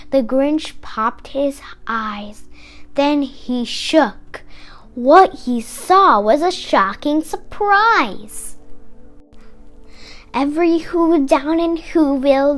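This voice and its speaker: Female children's storybook reader, expressive and deliberate, very unhurried, with faint music underneath. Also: high-pitched. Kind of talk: expressive children's read-aloud of rhyming story.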